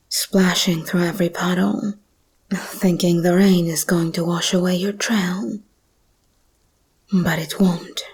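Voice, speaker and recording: Breathy, slow-whispering predatory monster girl, played by a woman. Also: lowish voice, a little mellow, exaggerated voice. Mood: predatory, savoring. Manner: slow, teasing, predatory purr. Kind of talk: ASMR roleplay, intimate monologue.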